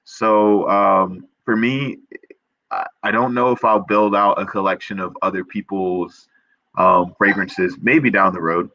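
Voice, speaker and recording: Low-voiced young man, earnest and bright. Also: steady tone, a little slow, no echo, muffled recording. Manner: earnest, enthusiastic, many restarts and fillers. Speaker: perfumer guest. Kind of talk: casual interview chat.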